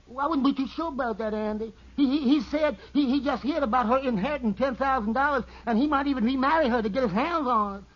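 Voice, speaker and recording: A man playing a flustered, excitable little barber with a comic stammer in an old radio sitcom. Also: very high-pitched, fast talker, few pauses. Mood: flustered, earnest. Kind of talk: 1950s radio sitcom acting. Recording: muffled old radio broadcast.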